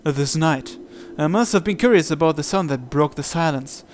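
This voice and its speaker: Slightly high-voiced man reading aloud. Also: normal speed, a little hiss or hum. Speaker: let's player reading visual novel aloud. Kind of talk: narration read aloud, first person. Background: game music.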